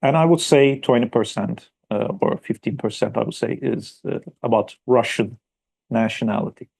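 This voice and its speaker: Mellow-toned male historian presenting at a workshop; halting, filler-heavy delivery. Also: medium pitch, vocal fry, slightly rough. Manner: hesitant, many fillers and restarts. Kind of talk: academic conference talk. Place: conference room.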